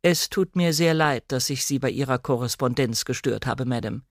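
A man voicing a coolly deferential female housekeeper of a manor in an audiobook drama, with formal, measured politeness. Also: medium pitch, dry recording, studio quality, close to an everyday voice.

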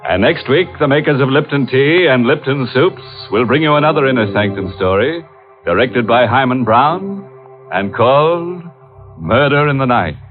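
A man's voice: mid-range voice, expressive, moderate pace. A man hosting a scripted 1940s radio horror show, sardonic, theatrical and mock-sinister. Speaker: host. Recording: tinny old radio recording. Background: music.

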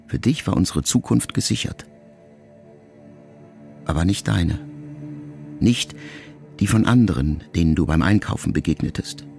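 An actor playing a pensive, melancholy grown son in a radio drama monologue, speaking to his mother in a gravelly, measured, intimate way. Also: low voice, darkish tone, heavily acted. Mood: quiet reproach. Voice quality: gravelly, creaky.

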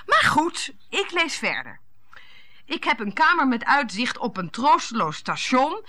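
An actress in a radio play, playing a jealous, sarcastic and indignant wife who speaks bluntly. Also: female voice, sits high, wildly swooping pitch, unhurried, bright, forceful tone, heavily acted. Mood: scornful, impatient.

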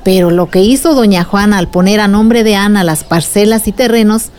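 A woman's voice: mid-range voice, moderate pace, a little mellow, lightly acted. A woman playing a patient, wise village aunt who explains things in a radio drama. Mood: patient, earnest.

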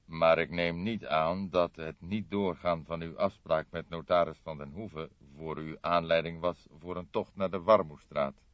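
A man voicing a somber older male police detective in an audiobook, with a slow, deliberate manner. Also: deep voice, steady tone, no echo, close to an everyday voice. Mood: slow, probing. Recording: dull, dry lo-fi audiobook recording.

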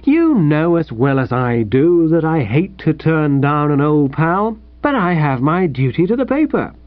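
A man voicing a jovial, gushing, patronising young English gentleman editor for an audiobook. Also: a little high, animated, normal speed, no echo, narrow, tinny audio, close to an everyday voice. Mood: kindly patronising. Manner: gushing, breezy, chummy. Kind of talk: audiobook character voice.

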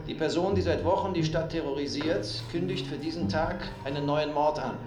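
An actor playing a formal town announcer reading an urgent public warning in a radio play. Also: male voice, fairly high pitch, moderate pace, close to an everyday voice. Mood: urgent, official. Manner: formal, official. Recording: echoing announcement with music behind.

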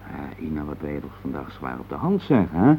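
An actor playing a busy, dismissive and placating businessman husband in a radio play, with a brisk, patronising manner. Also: a bass voice, sing-song, slight background noise. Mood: cheerful, placating. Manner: brisk, patronising, full of tag questions. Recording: dull lo-fi radio play recording.

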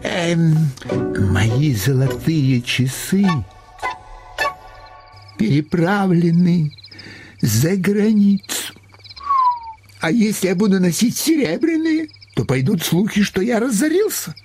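Jittery money-mad minister, halting n whiny, voice jumps all over, stage comedy, music behind. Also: male voice, sits high, animated. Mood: fussy, pompous. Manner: theatrical, halting, drawn-out, swinging between whimper and grumble. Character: anxious, fussy finance minister obsessed with money. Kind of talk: Russian stage-comedy radio acting.

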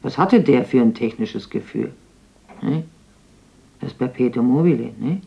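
A man's voice: medium pitch, animated, unhurried, vocal fry. An actor playing a sly old village man who rambles and bargains shrewdly over flour in a radio play. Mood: haggling. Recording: dull lo-fi radio play recording.

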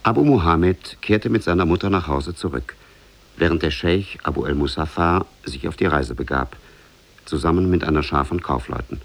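A man narrating an Oriental fairy tale in a measured way, as in a 1950s German radio play. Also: deep voice, vocal fry. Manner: measured, storytelling.

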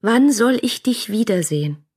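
A woman's voice: on the high side, expressive, moderate pace, darkish tone, husky. An actress playing a dreamy, longing young woman reading lyrical letters in a radio play.

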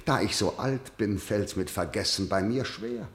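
A man's voice: on the low side, a little slow, echoey room, natural voice. An actor playing a harsh, vengeful old king who declaims classical verse in a commanding manner for radio drama. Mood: suspicious, bitter. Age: older.

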